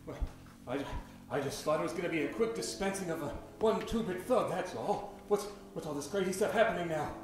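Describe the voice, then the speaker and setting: A man plays a villainous prison warden with a twisted sense of justice. The voice is fairly high, theatrical and pompous, in a heavily echoing room, as in a radio play. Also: expressive, fairly quick, close to an everyday voice. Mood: bewildered. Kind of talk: melodramatic old-time radio acting. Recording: very echoey room, faint music.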